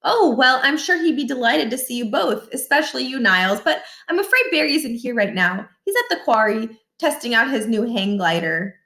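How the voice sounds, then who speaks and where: A woman's voice: fairly high pitch, animated, moderate pace, few pauses, bright, forceful tone, echoey room, pitched above the performer's own voice. A woman voicing a polite, chatty, long-suffering wife and mother in a children's storybook, genteel and faintly exasperated.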